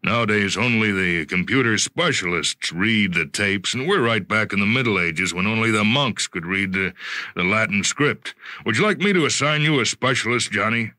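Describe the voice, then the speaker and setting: A man acts out a senior corporate boss from an audio story, genial but evasive. He speaks in a hesitant, patronizing way with a bright, forceful edge, in a dry recording. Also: on the low side, animated, normal speed, no echo, pitched above the performer's own voice. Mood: wistful, placating.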